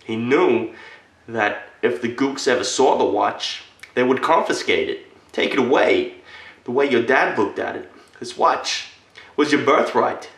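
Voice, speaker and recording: A solemn military veteran, played by a man, speaks in a low, creaky voice in an echoey room. He delivers a dramatic film monologue as an earnest storyteller addressing a young boy, pausing deliberately between phrases. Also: flat delivery, fairly bright tone, recorded from a distance. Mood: indignant.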